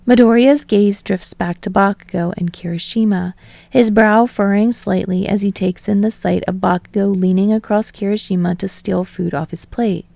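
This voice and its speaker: Creaky-voiced woman narrating fiction. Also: medium pitch, warm tone, no echo. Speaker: audiobook narrator.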